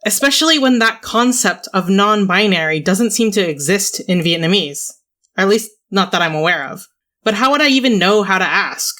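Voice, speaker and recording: A young woman from California reading a scripted personal essay in a reflective, measured and wry way. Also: mid-range voice, lively intonation, fairly bright tone. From Orange County, California. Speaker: video essayist.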